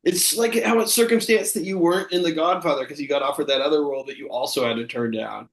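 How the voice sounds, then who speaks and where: A man's voice: a little high, fast, lightly acted. A man playing a patronizing know-it-all thespian in a comedy, effusive and theatrical in his show of support. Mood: gushing support. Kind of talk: improvised-sounding comic dialogue.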